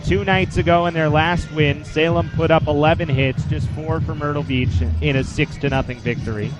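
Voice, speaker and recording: A man in his twenties doing live baseball play-by-play for radio, in a measured, descriptive way with statistics between pitches. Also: fairly even intonation, music in the background, rough recording. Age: 20s. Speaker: play-by-play sportscaster.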